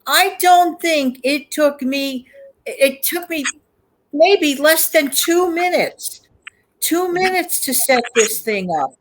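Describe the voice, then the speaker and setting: A woman's voice: high-pitched, animated, takes it slowly, slight background noise, low-quality recording. A woman hosting a livestream in casual chat, repeating words for emphasis. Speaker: host of a livestream.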